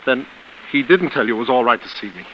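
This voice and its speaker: A man's voice: mid-range voice, lively intonation, fast talker, lightly acted. An actor playing a guilt-ridden, wary male houseguest in a 1940s radio drama, earnest, hesitant and anguished. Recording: muffled, noisy old radio broadcast.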